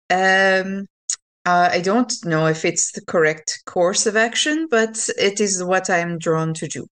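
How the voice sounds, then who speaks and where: Female tabletop player, slightly low voice, very unhurried, measured in-character delivery with pauses. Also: a bit bright. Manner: measured, pauses to pick words. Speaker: player in a tabletop role-playing game. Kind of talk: casual in-character game talk.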